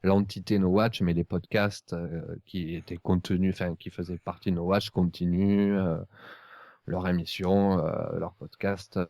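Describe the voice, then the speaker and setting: A man hosting a casual podcast discussion in a halting way, often rephrasing himself. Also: sits low, flat delivery, warm tone, dry recording. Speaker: podcast host.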